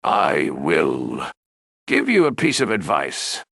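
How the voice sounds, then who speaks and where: Man acting a scheming king, deliberate and animated. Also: rumbling low, vocal fry, breathy edge, no echo, studio quality, voice pushed down, heavily acted. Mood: advising. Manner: deliberate, instructive. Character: scheming fatherly king giving firm advice. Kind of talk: storybook character voice.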